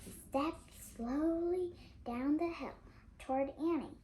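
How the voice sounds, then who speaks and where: An eight-year-old girl reading a storybook aloud, carefully and a little haltingly, with expression. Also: high-pitched, mellow. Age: child of 8. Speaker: young reader. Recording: noisy home recording.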